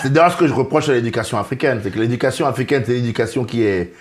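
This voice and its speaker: Calm, reflective man from Benin. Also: mid-range voice, very fast. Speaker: podcast co-host, stand-up comedian. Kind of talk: casual chat.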